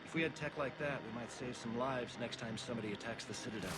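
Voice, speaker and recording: Man as game security officer, weary, matter-of-fact, mid pitch, heavy background noise. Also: fast talker, natural voice. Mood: grim, observant. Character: gruff weary security investigator on a space station. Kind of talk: video game voice acting. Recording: clear urban ambience noise behind.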